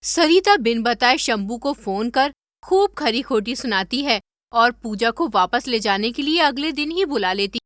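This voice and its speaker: High, bright female story narrator. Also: expressive, a little slow, no echo, clean studio sound.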